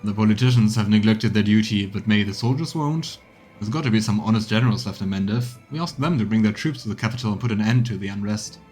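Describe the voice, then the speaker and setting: A man's voice: fairly low pitch, fast, darkish tone, faint music behind, close to an everyday voice. A man voicing an earnest military adviser, reading video game dialogue in a firm, formal manner. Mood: firm, resolute.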